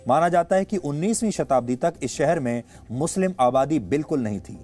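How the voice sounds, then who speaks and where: A male news anchor with an Indian accent delivering opinionated commentary in a deliberate, emphatic way with rhetorical repetition. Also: on the high side, expressive, darkish tone. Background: background music.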